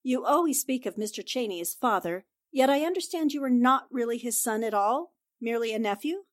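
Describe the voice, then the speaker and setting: An actress performs a probing woman reporter from a 1940s radio drama. Her voice sits a little high. She questions with composure and aims each point deliberately. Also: expressive, close to an everyday voice. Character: shrewd, probing female newspaper reporter Anne Rogers.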